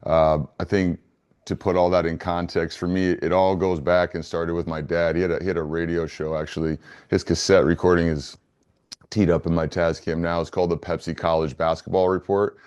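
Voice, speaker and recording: A man speaking as an interview guest, answering conversationally in a measured, earnest way. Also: low voice, little pitch movement, a little mellow, smooth. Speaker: interview guest, business founder.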